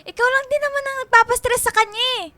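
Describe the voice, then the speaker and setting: Very high-pitched, whiny younger sister. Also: female voice, fairly even intonation, fast talker, sharp tone, no room sound. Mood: blaming, upset. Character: tearful, blaming younger sister. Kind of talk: Filipino radio drama acting.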